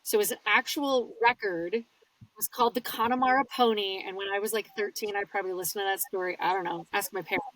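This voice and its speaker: A woman, a guest audiobook narrator, chatting casually in an interview from a small padded home booth and telling her stories with lively swings in her voice. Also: fast talker, no echo, recorded from a distance, poor audio. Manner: animated storyteller with wide pitch swings.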